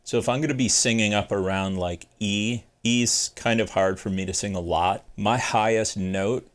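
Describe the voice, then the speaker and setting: A man's voice: steady tone, normal speed. A man in his forties hosting a casual guitar gear tutorial from his home music studio, chatty and self-deprecating, thinking aloud with fillers. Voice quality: deep bass singing voice. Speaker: guitar channel host.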